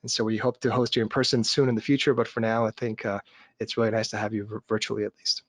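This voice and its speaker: A man hosting a webinar over a video call, introducing a guest in a measured way with frequent ums and restarts. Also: fairly low pitch, little pitch movement, dry recording. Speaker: webinar host.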